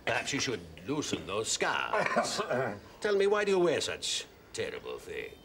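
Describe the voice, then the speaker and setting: A man's voice: mid-range voice, wildly swooping pitch, a little slow, heavy background noise. An actor playing a sly, courteous male vampire dinner guest with a put-on Romanian accent in a sitcom. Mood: sly courtesy. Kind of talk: sitcom acting. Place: indoor studio set, dining room.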